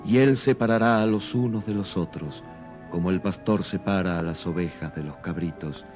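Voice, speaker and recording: An actor playing a solemn, prophetic gospel voice in a radio drama, declaiming scripture. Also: male voice, deep voice, moderate pace, a little mellow, soft background music, lightly acted. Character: solemn male gospel voice foretelling final judgment.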